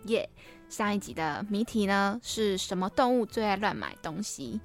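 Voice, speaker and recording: A woman's voice: mid-range voice, animated, moderate pace, faint music behind. Playful young woman hosting a podcast. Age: young adult. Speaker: podcast host. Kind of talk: casual, chatty podcast monologue.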